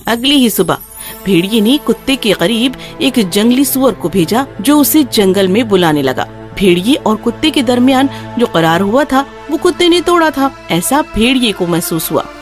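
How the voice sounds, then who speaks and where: A woman's voice: on the high side, very animated, normal speed. A woman reading a scripted children's story as its narrator. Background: soft music.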